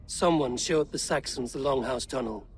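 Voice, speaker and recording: An actor playing a measured Viking raider who questions others in a video game cutscene. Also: male voice, mid-range voice, natural voice. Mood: probing. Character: Viking raider investigating a betrayal, measured and probing.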